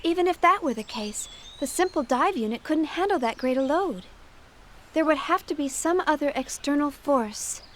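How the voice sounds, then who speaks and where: A woman's voice: high voice, animated, moderate pace, a little hiss or hum. A woman playing an earnest, analytical young scientist in a dubbed sci-fi game cutscene. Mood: firm, puzzled.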